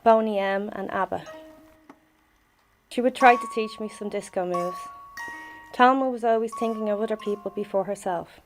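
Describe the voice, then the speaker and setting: A woman's voice: mid-range voice, moderate pace, pauses often, soft background music. A middle-aged woman with a Dublin Irish accent reads a personal memorial tribute in a plain-spoken, reminiscing way. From Dublin, Ireland. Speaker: bereaved sister giving a tribute.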